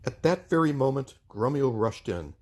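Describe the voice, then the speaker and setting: A man reads a children's storybook aloud as its narrator. His voice sits at a middle pitch and moves with very lively intonation. The delivery is expressive, scripted storytelling. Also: moderate pace. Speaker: storyteller reading a children's book.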